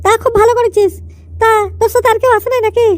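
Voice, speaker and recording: A woman's voice: extremely high pitch, steady tone, fast. A woman voicing a friendly, obliging young girl in a cartoon comedy, with a sweet, chatty manner. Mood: welcoming, chatty.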